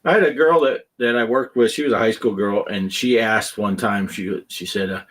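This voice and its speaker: Chatty husky-voiced man in his fifties. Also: on the low side, moderate pace, a bit bright. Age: 50s. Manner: chatty storyteller who laughs often and acts out quoted lines. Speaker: podcast host. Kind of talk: casual chat.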